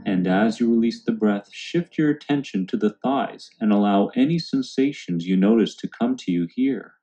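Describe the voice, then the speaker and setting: A man calmly guiding a meditation in a soothing, unhurried way. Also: lowish voice, lo-fi sound. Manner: calm, soothing, unhurried instruction. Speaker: meditation guide. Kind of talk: guided meditation narration. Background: background music.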